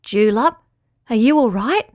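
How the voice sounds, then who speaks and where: A woman voicing a kind, gentle male four-legged animal who speaks earnestly. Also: fairly high pitch, expressive, measured pace, no room sound, studio quality. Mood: concerned.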